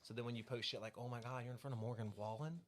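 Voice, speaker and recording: Southern guy, quick rambling podcast guest, lots of fillers and cursing. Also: mid-range voice, steady tone. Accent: Southern American. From American South. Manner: fast, rambling, heavy on fillers and swearing. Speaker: country singer, podcast guest. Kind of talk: casual podcast chat.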